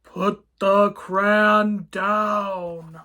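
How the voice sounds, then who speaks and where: A hulking, stubborn storybook monster acted out by a woman. He speaks gruffly, grumbling and growling his words at a slow pace. He sounds like a grouchy brute who will not budge. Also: mid-range voice, fairly even intonation, bright, forceful tone. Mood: thundering, angry. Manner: growling, grunting, thundering.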